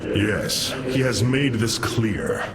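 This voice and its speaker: A man's voice: on the low side, very animated, takes it slowly, very noisy. A man playing a noble, resolute alien warrior leader in a game cutscene, with stately, earnest and formal speech. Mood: concerned. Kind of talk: dramatic game cutscene acting. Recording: loud music behind the voice.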